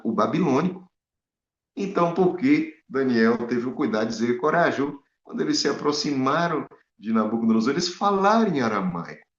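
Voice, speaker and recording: A man preaching a Bible study sermon, animated and full of rhetorical questions to his listeners. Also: mid-range voice. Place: in Spain. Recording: echoey, dull lo-fi room sound.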